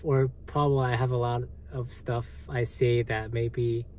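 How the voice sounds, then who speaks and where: A man with a Korean accent, a native Korean speaker, hosting a livestream and answering viewer comments in a halting, hesitant way with lots of fillers. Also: medium pitch, flat delivery, darkish tone, a little hiss or hum. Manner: slow, halting, many fillers and restarts. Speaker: livestream host.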